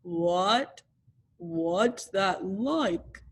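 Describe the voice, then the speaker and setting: A woman voicing a gloomy, sarcastic old male donkey from a storybook, with slow, drawn-out, deadpan delivery. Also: medium pitch, heavily acted.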